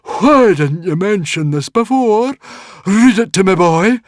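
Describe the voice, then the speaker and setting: Booming, high declaiming druid guardian, done by a narrator. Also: animated, slow, no room sound, studio quality. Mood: amazed, commanding. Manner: loud, declamatory. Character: commanding, awestruck Druid Guardian.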